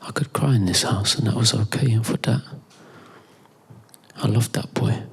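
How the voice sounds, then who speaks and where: A man performing an intimate scripted monologue in a hushed, reflective, halting way that drops toward whispers, recorded live in a club. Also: lowish voice, creaky, slightly rough.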